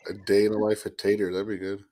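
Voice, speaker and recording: Low-voiced man with a summer cold. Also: little pitch movement, quick pace, mellow. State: nasal, sniffling from a summer cold. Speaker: co-host. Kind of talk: casual chat.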